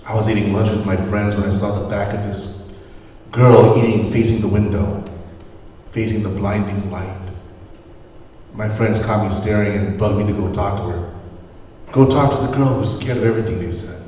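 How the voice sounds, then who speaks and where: A man's voice: on the low side, fairly even intonation, moderate pace, warm tone, a little hiss or hum, natural voice. A man playing a devoted, regretful husband at a screenplay table read, earnest and reflective, recorded from a distance in an echoey room. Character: devoted, regretful husband confessing to his wife in counseling.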